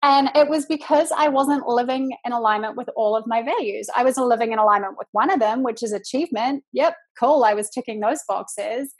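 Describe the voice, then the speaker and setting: A woman's voice: on the high side, brisk, few pauses, slightly rough. A woman in her thirties from New Zealand, with a New Zealand accent, talking reflectively and chattily as a podcast guest, with many fillers. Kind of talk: casual podcast conversation.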